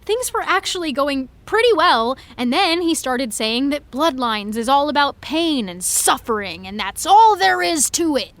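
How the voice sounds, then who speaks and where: A woman's voice: sits high, animated, a little slow, few pauses, a bit bright, dry recording, heavily acted. An actress playing an upset, indignant young female fan in an audio drama, emphatic and sarcastic with her friend. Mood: defensive. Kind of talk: naturalistic audio drama acting.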